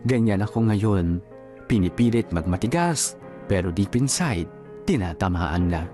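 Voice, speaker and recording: A man's voice: sits low, expressive, unhurried, mellow. A man giving scripted first-person narration for a radio drama, confiding and reflective in manner. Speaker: narrator reading a letter sender's story. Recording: music behind the voice. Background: music.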